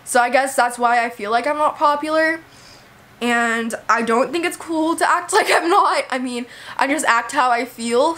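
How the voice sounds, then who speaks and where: A young woman from Texas, talking casually to her camera with lots of "like" and "you know", hoarse from a cold. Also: high-pitched, fairly bright tone. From Texas, USA. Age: young adult. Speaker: vlogger.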